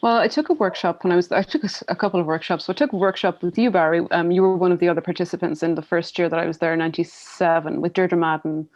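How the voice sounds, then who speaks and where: A woman's voice: on the low side, fast talker, few pauses, a little mellow. An Irish woman in her forties, a writer reflecting in an interview, thoughtful and full of restarts. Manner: thoughtful, many fillers and restarts. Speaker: writer, guest. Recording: dull lo-fi audio.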